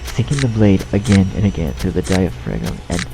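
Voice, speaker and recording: A man's voice: sits low, big pitch swings, normal speed, vocal fry, rough recording. A man reading a horror story as narrator in a scripted style. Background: background music.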